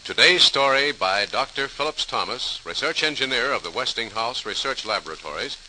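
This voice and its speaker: A man's voice: medium pitch, animated. A man with an American accent reading scripted narration as a 1940s radio announcer, formal and dramatic. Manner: formal, dramatic, measured phrasing. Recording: hissy, crackly 1940s radio recording.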